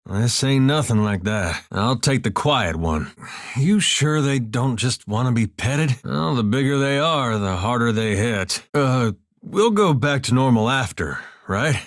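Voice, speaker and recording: A man voicing an easygoing farmer turned adventurer in a video game, with a folksy, drawling, deadpan humour. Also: medium pitch, unhurried, no room sound, studio quality. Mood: dry, friendly. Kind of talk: video game companion dialogue and barks.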